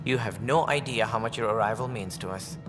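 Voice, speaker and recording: An actor playing a formal alien director of a space colony in a video game. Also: male voice, fairly low pitch, brisk, lightly acted. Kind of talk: video game cutscene acting. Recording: reverberant hall with music behind.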